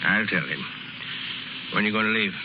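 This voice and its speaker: An actor in a 1930s radio drama playing a meddling small-town gentleman with a formal, measured, officious manner. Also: lowish voice, animated, close to an everyday voice. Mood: smoothly satisfied. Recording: crackly, muffled 1930s radio broadcast recording.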